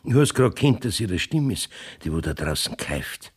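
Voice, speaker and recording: An actor playing an uneasy, crafty village judge in a Bavarian dialect radio play, gravelly and husky, blustering and evasive. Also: male voice, sits low, very animated, normal speed, exaggerated voice. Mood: uneasy, probing.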